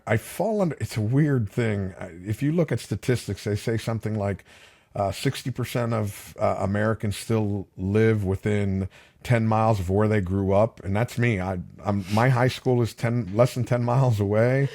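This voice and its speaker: A man's voice: fairly low pitch, moderate pace, a little mellow. A middle-aged man, bilingual with Spanish as his first language, telling stories in a casual, chatty interview with many fillers and restarts. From Palm Beach County, Florida. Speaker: podcast guest, fishing captain.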